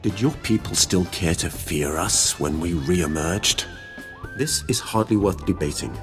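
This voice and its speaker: A very low-pitched, ancient Sith lord from a video game, proud and grim. He speaks formally and solemnly, with cold disdain, over background music. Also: unhurried. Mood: scornful. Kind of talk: dramatic video game voice acting.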